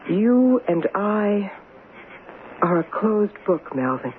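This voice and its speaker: An actress playing a cool, teasing former mistress with quiet menace, in a radio drama. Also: female voice, mid-range voice, sing-song, takes it slowly. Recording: narrowband, noisy old radio recording.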